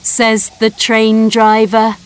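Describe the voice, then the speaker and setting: Very slow woman reading a children's story. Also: on the high side, completely flat delivery, faint music behind. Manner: very slow, clear, sing-song reading.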